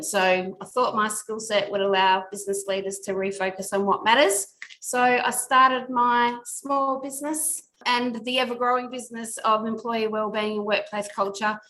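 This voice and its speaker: A woman's voice: medium pitch, fairly even intonation, normal speed, fairly bright tone, rough recording. Middle-aged woman, guest on an interview podcast. Earnest and reflective, with a mid-range voice. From Australia. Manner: reflective, earnest, speaks in long winding sentences. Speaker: podcast guest, workplace culture consultant. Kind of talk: casual interview talk.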